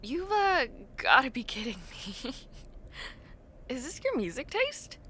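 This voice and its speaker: A young adult woman playing a friendly, teasing university student in a casual audio roleplay, talking to the listener with expressive reactions and drawn-out words. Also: high-pitched, a little hiss or hum, exaggerated voice. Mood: amused, teasing.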